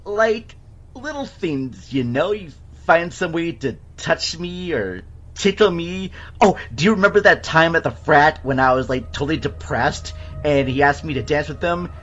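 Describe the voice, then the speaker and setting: A man playing an excited, lovestruck teenage girl who gushes in halting slang, in an amateur re-enactment of a film scene. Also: fairly high pitch, lo-fi sound, voice pushed up. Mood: eager, lovestruck. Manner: gushing, halting teen slang.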